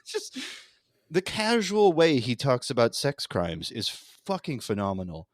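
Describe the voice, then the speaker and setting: A man's voice: medium pitch, huge pitch swings, unhurried, no echo, clean studio sound. A man bantering casually about politics as a podcast co-host, profane and sardonic.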